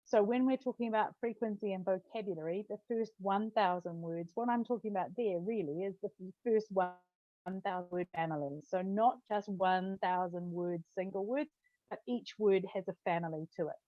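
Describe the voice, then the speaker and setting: A woman's voice: medium pitch, normal speed, no room sound. Woman lecturing over a dull dry mic. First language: not Hungarian; Hungarian is her second language. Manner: conversational, tag questions, frequent restarts. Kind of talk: academic conference talk. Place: home study with bookshelf.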